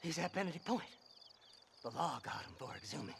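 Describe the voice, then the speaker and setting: An actor voicing a half-mad, greedy, filthy outlaw treasure hunter with an American accent and a manic, rambling delivery in a western video game. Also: male voice, fairly high pitch, lively intonation, brisk, creaky, fairly bright tone, slight background noise. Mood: scheming, excitable. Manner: manic, rambling, erratic swings. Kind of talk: video game character acting.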